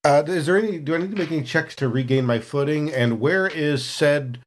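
A man's voice: a little high, brisk. A man chatting casually and jokingly as a player at a tabletop role-playing game.

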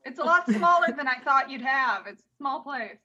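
A woman's voice: sits high, expressive, moderate pace, pushed and bright, dull audio, exaggerated voice. A woman playing a chummy, nonchalant stranger and fan in improvised comedy. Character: chummy fan stranger curious about murder.

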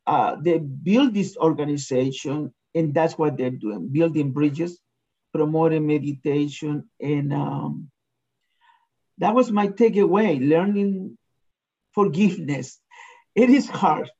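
A man's voice: on the high side, slow, mellow, slightly rough. Earnest man, lo-fi sound. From Chile. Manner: earnest, reflective. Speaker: discussion participant. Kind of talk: sharing a personal opinion.

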